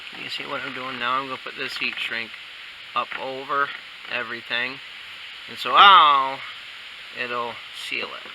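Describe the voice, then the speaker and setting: Male DIY installer with a creaky, gravelly voice, casual step-by-step delivery in short bursts, noisy homemade video sound. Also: mid-range voice, steady tone, unhurried. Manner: talks in short instructional bursts while working. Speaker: installer demonstrating a how-to. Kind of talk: casual hands-on tutorial narration while working. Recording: clearly noisy amateur workshop video sound.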